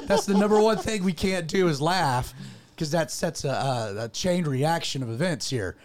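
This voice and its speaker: Pastor with a cracking, lost voice. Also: male voice, a little high, lively intonation, normal speed. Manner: good-humoured, rambling with fillers. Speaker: pastor and radio show host. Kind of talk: casual radio talk show. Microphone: studio microphone.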